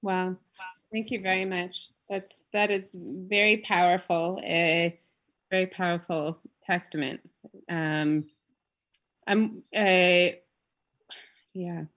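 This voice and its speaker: A woman's voice: lowish voice, fairly even intonation, takes it slowly, pauses often, a bit bright. A woman moderating an unscripted discussion, putting questions in a hesitant, reflective way. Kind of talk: unscripted interviewing.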